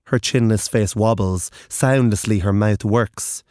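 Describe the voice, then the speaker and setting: Slow, low-voiced man narrating horror. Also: darkish tone, no room sound, clean studio sound. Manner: measured, grave, literary.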